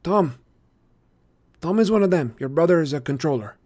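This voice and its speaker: A man's voice: on the high side, expressive, a little mellow, voice pushed up. A man doing a wisecracking teen boy. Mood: urgent. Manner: sarcastic, quick.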